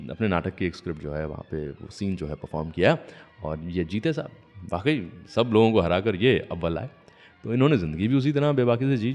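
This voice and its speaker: A man's voice: on the low side, darkish tone. A man hosting a radio show, telling stories between songs in a conversational way and acting out the dialogue he quotes. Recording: long reverb, faint music behind.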